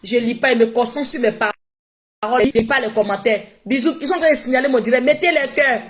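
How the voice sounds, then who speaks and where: A woman's voice: a little high, fast. A woman whose first language is not French, speaking emphatically and repeating herself in a casual live-stream monologue on her phone. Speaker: social media live streamer.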